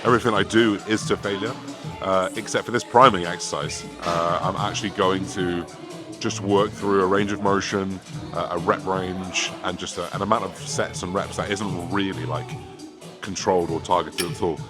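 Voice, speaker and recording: A man with a raspy voice and a half West Country accent, chatting casually to camera as a fitness coach and swearing now and then. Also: low-pitched. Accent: half West Country English. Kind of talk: casual vlog talk to camera. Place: home, then gym. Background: music.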